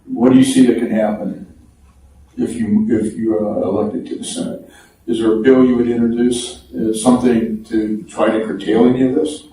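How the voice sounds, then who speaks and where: A man's voice: fairly low pitch, flat delivery, normal speed, dark tone. An American man hosting a radio show and putting political questions to a guest. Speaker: radio show host, interviewer. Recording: echoey room, distant mic, faint noise.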